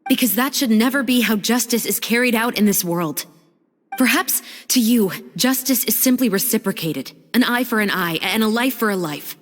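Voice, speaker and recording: A woman's voice: fairly high pitch, lively intonation, natural voice. An actress playing a composed, articulate female patrol officer who firmly believes in lawful justice, in a video game cutscene. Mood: resolute, impassioned. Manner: measured, articulate, resolute. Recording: long reverb, music underneath. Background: music.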